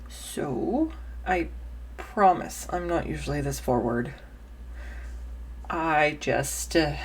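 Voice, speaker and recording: A woman playing an awkward, kind young woman befriending a stranger, speaking in an earnest, halting, nervous ramble in an intimate audio roleplay. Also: deep voice, slightly rough, heavily acted. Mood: playful, awkward. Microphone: close USB condenser microphone.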